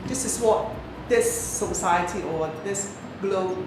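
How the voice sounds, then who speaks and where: A woman giving interview answers as a drama teacher, speaking haltingly and repeating words. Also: medium pitch. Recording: distant, echoey, noisy room recording.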